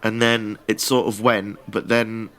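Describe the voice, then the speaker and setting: A man's voice: lowish voice, flat delivery, a little slow, background noise. A man co-hosting a podcast while congested with a cold, teasing and dry. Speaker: podcast co-host. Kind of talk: casual chat.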